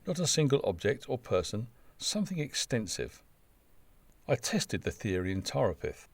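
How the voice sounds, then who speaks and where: A man's voice: mid-range voice, very animated, normal speed, vocal fry, no room sound. A man reading a scripted travel narration in a wry, wordy, descriptive manner. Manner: wry, dry-humoured, wordy descriptive delivery.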